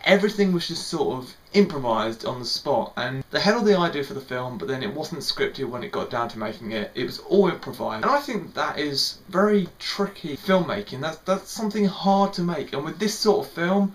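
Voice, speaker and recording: A man's voice: fairly high pitch, a bit bright. Rambling young man reviewing. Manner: rambling, repeats words, lots of fillers like "sort of". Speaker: film reviewer. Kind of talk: casual spoken review.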